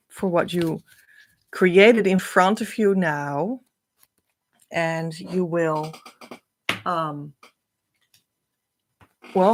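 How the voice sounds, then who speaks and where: Woman, slow unhurried speech, gravelly fry, dry close sound, earnest encouraging coach. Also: low-pitched, dry recording. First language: Dutch. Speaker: spiritual coach and card reader. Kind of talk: casual card-reading monologue.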